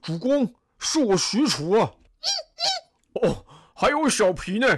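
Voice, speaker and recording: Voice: medium pitch, sing-song, slow pace, breathy edge, heavily acted. A voice actor playing Xu Chu, a loyal, cheerful, burly bodyguard who coaxes his lord in a sing-song way. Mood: cheerful coaxing. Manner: eager, coaxing, sing-song. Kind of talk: audio drama acting.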